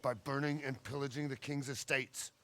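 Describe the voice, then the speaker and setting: An actor playing a scornful, bitterly sarcastic castle retainer in a video game. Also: male voice, medium pitch, steady tone, normal speed, sharp tone, slight background noise, natural voice. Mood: bitter sarcasm. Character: sardonic male castle retainer, scornful of invaders. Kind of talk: scripted video game cutscene acting.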